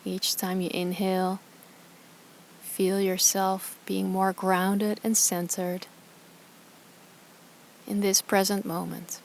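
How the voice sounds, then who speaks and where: A woman with a mid-pitched, very even voice leads a guided meditation. She speaks softly and calmly, leaving space between short phrases. Clear ambient noise sits in the recording. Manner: calm, soft, soothing, with slow pauses between phrases. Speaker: yoga teacher, meditation guide.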